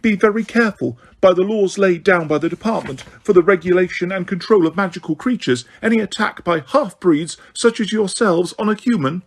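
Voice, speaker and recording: A man doing a shrill, pompous official woman. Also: fairly quick, hardly any pauses. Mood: shrill, haughty. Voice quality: high-pitched, terrified. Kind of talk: audiobook character voice.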